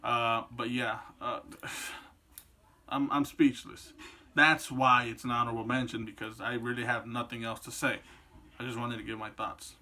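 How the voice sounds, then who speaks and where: Bright-voiced young man, rambling and profane. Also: mid-range voice, flat delivery, moderate pace. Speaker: video host. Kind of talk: casual opinion vlog.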